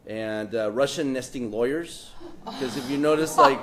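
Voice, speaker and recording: A man who is a political cartoonist, speaking on a panel, joking wryly and pausing often with fillers. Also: mid-range voice, a crowd in the background. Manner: wry, joking, halting with many fillers. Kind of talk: casual panel talk presenting his work.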